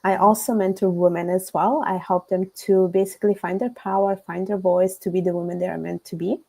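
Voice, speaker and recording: Italian-accented woman, mellow voice. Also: fairly low pitch, brisk, vocal fry. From Italy. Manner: earnest, steady, with frequent fillers. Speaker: podcast guest. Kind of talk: interview conversation.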